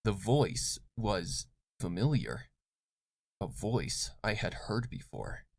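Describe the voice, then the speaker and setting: A man reading dramatic scripted horror fiction as narrator, in a solemn, deliberate manner. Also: fairly low pitch, animated, creaky, a little mellow, no echo. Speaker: story narrator. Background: music.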